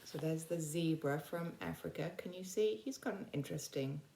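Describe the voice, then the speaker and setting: A woman's voice: low-pitched, moderate pace, creaky, dark tone, slight background noise. A woman teaching children during a book read-aloud, explaining things simply and checking understanding with frequent questions. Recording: roomy, echoing sound.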